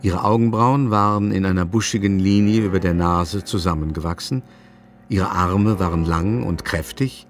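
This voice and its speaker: Male narrator, lowish voice, scripted literary storytelling, radio drama, faint music behind. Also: normal speed.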